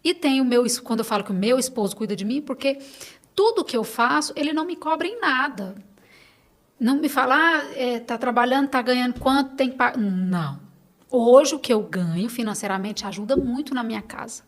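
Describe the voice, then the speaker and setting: A woman's voice: a little high, animated, brisk. A woman with a Brazilian Portuguese accent talking casually and emphatically as a podcast guest, repeating phrases for stress. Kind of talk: casual interview conversation.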